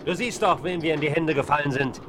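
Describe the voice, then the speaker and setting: An actor playing a furious, accusing captured outlaw in a western radio play. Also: male voice, fairly high pitch, fast, fairly bright tone, slight background noise, close to an everyday voice. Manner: snarling, accusing. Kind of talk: 1970s German radio play acting.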